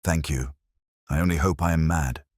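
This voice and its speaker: A man voicing a grave, haunted gentleman seer in an audiobook, formal and quietly earnest. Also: a bass voice, normal speed, dry recording, clean studio sound. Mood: fearful.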